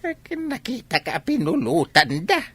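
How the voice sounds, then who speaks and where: An aggrieved village gossip played by an actress in a comedy radio drama. She has a husky, Filipino-accented voice on the high side. Her complaints rise and fall in very animated exclamations. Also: normal speed, no echo, heavily acted. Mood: complaining.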